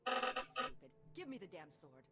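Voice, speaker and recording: An actress playing a tough, defiant assassin held prisoner in a video game, blunt and scornful. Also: female voice, medium pitch, big pitch swings, a little slow, pushed and bright, heavily acted. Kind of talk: video game character acting. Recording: faint music behind the voice.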